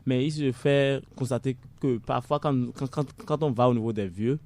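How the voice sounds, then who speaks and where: A man's voice: medium pitch, moderate pace, a little mellow. A man co-hosting a radio discussion who puts questions hesitantly and stumbles over repeated words. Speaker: radio co-host.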